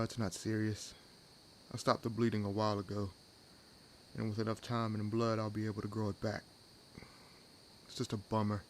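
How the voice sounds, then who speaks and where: A low male voice , an actor's, plays an ancient vampire in an audio roleplay. He is wry and world-weary, musing in a dry, sardonic way with unhurried pauses. Crickets and night ambience sit clearly behind him. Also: slight background noise, lightly acted. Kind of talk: audio roleplay acting addressed to the listener. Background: added forest ambience with crickets and insects.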